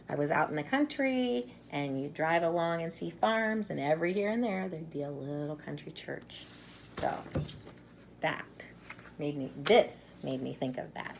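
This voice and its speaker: A woman storyteller who reads a children's picture book aloud expressively, with dramatic pauses. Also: deep voice, gravelly, very noisy. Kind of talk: expressive read-aloud storytelling with casual introduction.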